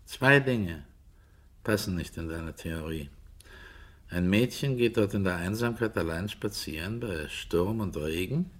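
An actor playing a brooding, sceptical investigator in a German radio crime drama, deliberate and terse in his delivery. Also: low voice, expressive, a bit bright, heavily acted. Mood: sceptical, determined. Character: brooding, sceptical male investigator, calm and stubborn.

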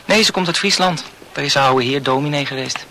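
Bright-voiced, opinionated young student. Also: male voice, mid-range voice, brisk, slight background noise, poor audio. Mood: chatty. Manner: blunt, mildly swearing, gossipy. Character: chatty, opinionated male student, musical, fond of beer. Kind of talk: conversational radio drama acting.

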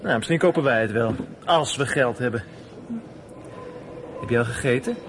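An actor playing a crook's casual, slow-talking sidekick in a Dutch radio drama. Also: male voice, mid-range voice, a bit bright, slight background noise, natural voice. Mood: sly, casual.

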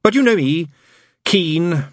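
Guy doing a twitchy demon, high and squeaky, babbles fast, eager to please. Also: lively intonation, no room sound, clean studio sound. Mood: jittery. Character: nervous, babbling male demon, anxious to please.

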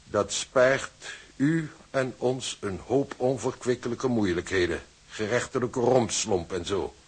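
Condescending, husky company official. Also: male voice, medium pitch, slow pace. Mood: smug. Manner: formal, officious, mock-polite. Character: cold, condescending male shipping company official. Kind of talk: Dutch radio drama acting.

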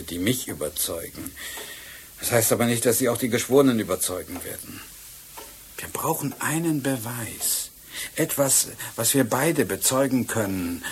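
An actor playing a shrewd, secretive police inspector in a German radio crime drama, speaking with measured authority. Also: male voice, fairly low pitch, a little hiss or hum.

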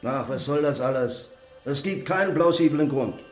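An actor playing an exasperated police officer in a radio drama, blunt and scoffing. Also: male voice, medium pitch, normal speed, faint music behind, lightly acted. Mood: scoffing, frustrated. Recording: echoey room.

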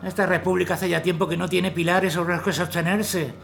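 An actor playing a bitter, disillusioned army colonel who speaks wearily. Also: male voice, high voice, level tone, fairly quick, vocal fry, fairly bright tone, soft background music. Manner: weary, bitter.

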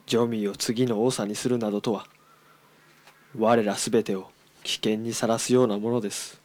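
A man's voice: on the low side, fairly even intonation, normal speed, a little mellow, lightly acted. A man playing a stern, cautious chief engineer on a council, speaking formally and firmly in an amateur radio drama. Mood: stern, objecting. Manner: formal, firm, emphatic. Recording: reverberant hall, faint background noise.

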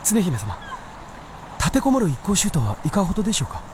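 A woman's voice: fairly low pitch, sing-song, fairly quick, background noise. A woman voicing an earnest, polite young male fox spirit in a Japanese period radio drama, sincere and deferential. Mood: polite, attentive.

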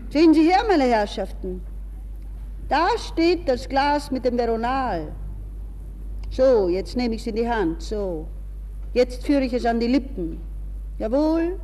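A woman's voice: high-pitched, mellow. A woman playing a vain, defiant, distraught young lady in a theatrical radio drama monologue, talking feverishly to herself. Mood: defiant, bitter. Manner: theatrical, self-addressed, swinging from murmurs to exclamations. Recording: reverberant hall sound, faint noise.

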